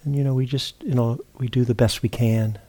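A man teaching meditation, giving guided instruction in a halting, unhurried way with long pauses and repeated words. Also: mid-range voice, fairly even intonation, dark tone, breathy edge. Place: meditation retreat center.

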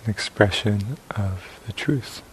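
A man leading a guided meditation as a teacher, speaking in sparse short phrases with long pauses between them. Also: on the low side, poor audio. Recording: faint hiss and background noise.

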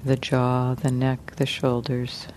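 A woman teaching guided meditation in a gentle, unhurried manner, leaving long pauses between short phrases. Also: very deep voice, completely flat delivery, a little hiss or hum.

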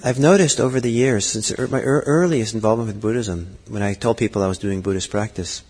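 A middle-aged man giving a Buddhist dharma talk, thoughtful and halting, with frequent fillers and restarts. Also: lowish voice, darkish tone, husky. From Norway. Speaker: Buddhist teacher. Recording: long hall reverb.

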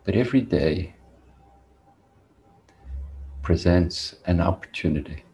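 A man leads a guided meditation in a deep, creaky voice. He speaks very slowly and deliberately, leaving long pauses between measured phrases. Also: animated, mellow. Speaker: meditation teacher.

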